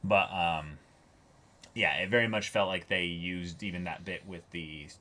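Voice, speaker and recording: A man's voice: low-pitched, fairly even intonation, measured pace, pushed and bright. A man co-hosting a reaction show, casually talking through an anime episode in a conversational way.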